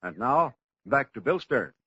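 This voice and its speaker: A man's voice: medium pitch, sing-song, a little slow, sharp tone, slightly rough, no room sound. A man with an American accent reading a 1940s radio advertisement as a commercial announcer, polished and punchy. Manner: polished, punchy salesman delivery. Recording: dull lo-fi 1940s radio recording.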